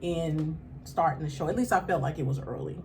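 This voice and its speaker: A woman's voice: sits low, fairly quick, darkish tone, a little hiss or hum. A woman from Kansas City with a Kansas City accent, a radio show founder speaking as a guest in a casual interview, affirming and laughing often.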